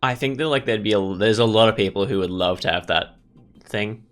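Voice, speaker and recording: Low voiced guy doing a visual novel lets play, casual n reflective, bit of music behind. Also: brisk. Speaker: gaming video host. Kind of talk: visual novel let's play, reading and commenting. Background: faint music.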